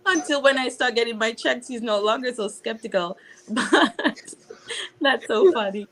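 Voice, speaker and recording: Higher-voiced panel host woman. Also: normal speed, a bit bright. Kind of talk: hosting an online panel.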